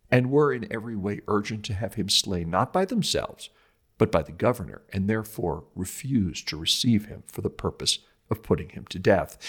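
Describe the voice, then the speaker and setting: A man reading a scripted religious commentary like a lecture, with emphatic, measured phrasing and dramatic stress on scripture quotations. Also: lowish voice, slightly rough.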